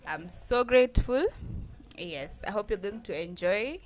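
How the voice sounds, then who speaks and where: A young woman from Nairobi with a Kenyan accent, a podcast guest talking in a relaxed way with short affirmations. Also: medium pitch, unhurried, slight background noise. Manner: relaxed, answers with brief affirmations. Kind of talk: casual conversation.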